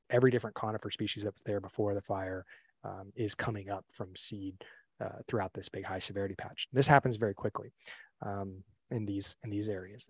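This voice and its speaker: A young man presenting a webinar on a video call, relaxed and full of fillers and restarts. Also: fairly low pitch, dry recording. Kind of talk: casual slideshow presentation. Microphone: computer mic on a video call.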